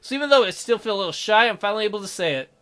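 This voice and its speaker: A man's voice: sits high, expressive, racing, pushed and bright, smooth. A man reading visual novel narration aloud as a dramatic let's play narrator, with music behind him.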